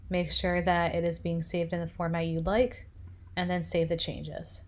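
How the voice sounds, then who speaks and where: A woman presenting a software tutorial, narrating instructions step by step. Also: fairly low pitch, monotone, normal speed.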